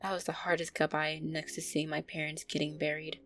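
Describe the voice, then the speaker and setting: A woman's voice: deep voice, level tone, fairly quick, a bit bright. A woman playing an affectionate, easily flustered, centuries-old vampire girlfriend, talking intimately and hesitantly to her listener in an audio roleplay. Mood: wistful, reluctant.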